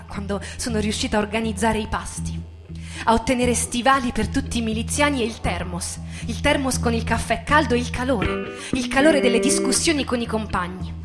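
Woman giving a dramatic reading over music. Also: on the high side. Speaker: stage performer reading a narrative. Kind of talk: dramatic literary stage reading. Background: live musical accompaniment with guitar.

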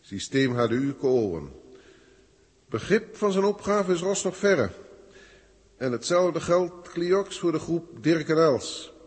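An actor playing a haughty, impatient alien official who talks formally and pompously in a 1960s science fiction radio play. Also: male voice, a little high, sing-song, slow, a little mellow, slightly rough, exaggerated voice. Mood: formal reporting. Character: haughty, impatient male alien senior proctor filing reports. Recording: echoey hall effect, old radio recording.

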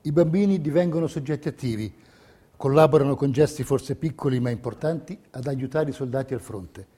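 An actor playing a solemn narrator who reads wartime history and letters in a theatrical documentary style. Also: male voice, mid-range voice, fairly quick, warm tone, husky, natural voice. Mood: grave.

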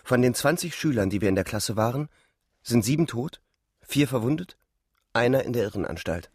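An actor playing a disillusioned young soldier who narrates a German radio drama in a reflective, sombre way. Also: male voice, medium pitch, animated, normal speed, a little mellow, slightly rough, no echo, clean studio sound. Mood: bitter, sombre.

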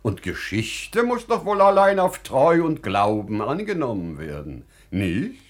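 A wise humble storyteller in an old stage-style radio play, slow and measured, very expressive pitch. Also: male voice. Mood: earnest, insistent. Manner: measured, deliberate, dramatic phrasing with weighty pauses. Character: wise, humble storyteller addressing a sultan with a parable.

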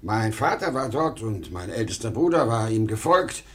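An older man acting a proud, nostalgic, pleading travelling salesman in a 1950s German radio play, emotional and halting. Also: lowish voice, sing-song. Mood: nostalgic, fervent. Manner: emotional, halting when pleading, repeating himself.

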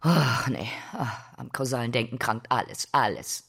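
Husky, creaky-voiced female teacher. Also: extremely low pitch, normal speed, a bit bright, heavily acted. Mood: opinionated. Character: opinionated female philosophy teacher, argumentative, intellectual. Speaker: actress in a drama. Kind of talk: dialogue acting in a German drama.

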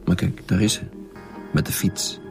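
A man's voice: normal speed, close to an everyday voice. A man with a Flemish accent acting a blunt, sarcastic, insistent chief detective in a radio drama. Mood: businesslike. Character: blunt, pressing male chief detective interrogating suspects. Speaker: lead police investigator. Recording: music behind the voice.

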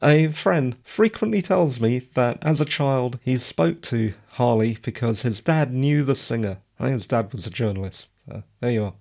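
A man with a slightly croaky voice, chatting casually and digressively as a podcast host. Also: mid-range voice, expressive, normal speed, hardly any pauses, a little mellow. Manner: chatty, digressive, self-deprecating. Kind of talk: casual solo podcast talk.

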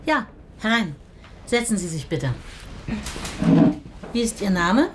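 A businesslike woman clerk in a radio drama. Her questions are short and procedural, in a medium-pitched voice. Noticeable noise and clicking sit behind her. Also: very animated, slow pace. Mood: brisk, businesslike. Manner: terse, procedural. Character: brisk, businesslike female clerk at a missing-relatives bureau.

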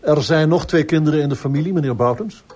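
An actor playing a courteous, persistent police inspector in a radio drama, speaking in a formal, measured way. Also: male voice, a little high, a little mellow, lightly acted. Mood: polite, insistent. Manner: formal, polite, measured questioning.

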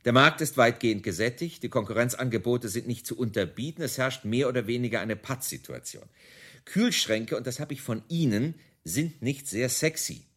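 An actor in a radio play voicing a sober, sceptical company executive with a dry, matter-of-fact manner. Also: male voice, medium pitch, normal speed, fairly bright tone, slightly rough. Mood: dry, businesslike. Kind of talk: radio play dialogue, boardroom talk.

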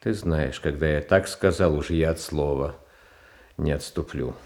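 A meek, gentle young tsar. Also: male voice, extremely low pitch, measured pace. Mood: agitated. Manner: soft pleading, childlike, flares into hurt protest. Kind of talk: 1970s Soviet radio drama.

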